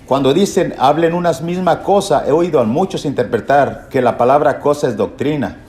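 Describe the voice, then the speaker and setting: A man's voice: on the high side, moderate pace, darkish tone. Echoey male preacher teaching emphatically. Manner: didactic, emphatic, repeats key phrases. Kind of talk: Bible lesson sermon with rhetorical questions. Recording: echoey room, faint background noise.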